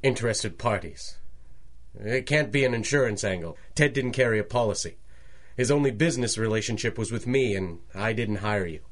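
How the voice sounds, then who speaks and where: A man's voice: fairly low pitch, normal speed, close to an everyday voice. An actor playing an evasive, defensive businessman in a radio drama, guarded in manner.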